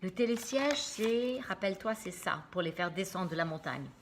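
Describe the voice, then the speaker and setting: A woman reading a children's storybook aloud like a teacher, expressive and dramatic, with explanatory asides to young listeners. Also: mid-range voice, normal speed, a little hiss or hum.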